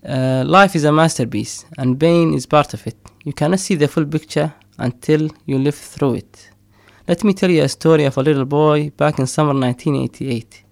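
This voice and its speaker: Man, middle-aged, gravelly, dry close sound, hesitant with fillers. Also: a little high, moderate pace, no echo. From Somaliland. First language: Somali. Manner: hesitant, many fillers, frequent laughs. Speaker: poet and storyteller. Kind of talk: casual interview talk.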